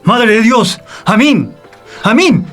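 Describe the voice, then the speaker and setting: Man playing Marco, high-voiced anxious fatherly friend. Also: expressive, takes it slowly, fairly bright tone, heavily acted. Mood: panicked, soothing. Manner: exclamatory, pleading. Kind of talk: French fiction podcast acting. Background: music.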